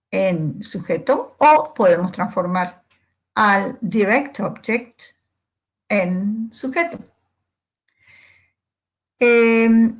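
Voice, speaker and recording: Slow-paced woman teaching a grammar lesson. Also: mid-range voice. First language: Spanish. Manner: didactic, deliberate, methodical. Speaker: English teacher.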